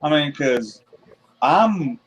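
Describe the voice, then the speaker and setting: A man's voice: a little high, animated, extremely slow, low-quality recording. A man with a Southern American accent making dry wisecracks in casual group chat. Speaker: live chat co-host.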